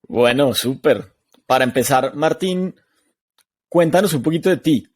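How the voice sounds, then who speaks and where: A man's voice: a little high, a little slow, a little mellow, slightly rough. A man hosting a podcast, introducing his guest and asking questions in an upbeat, encouraging way. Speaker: podcast host.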